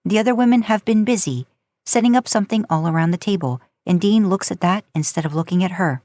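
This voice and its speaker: A woman's voice: mid-range voice, fast, a little mellow, dry recording. A woman reading a story aloud in audiobook-style narration. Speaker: podcast host and fan fiction narrator.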